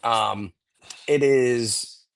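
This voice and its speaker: Guy with a cold, bit hoarse and stuffy, rambling on happily about cigars. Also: mid-range voice, very slow, slight background noise. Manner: rambling, enthusiastic cigar talk with many fillers. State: getting over a cold, a bit hoarse and congested. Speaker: podcast co-host. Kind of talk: casual chat.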